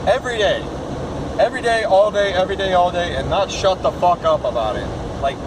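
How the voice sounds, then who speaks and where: A nineteen-year-old man vlogging from his car on the drive home, ranting casually, rambling and swearing freely. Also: on the high side. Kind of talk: casual rant to camera. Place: in a car after work. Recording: loud car noise. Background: loud road and engine noise.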